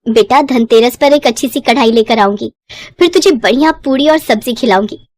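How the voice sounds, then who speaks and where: A woman voicing a poor young village mother, tender but weary, in an expressive cartoon-story manner. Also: on the high side, moderate pace, dry recording, close to an everyday voice. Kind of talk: Hindi moral-story cartoon dialogue.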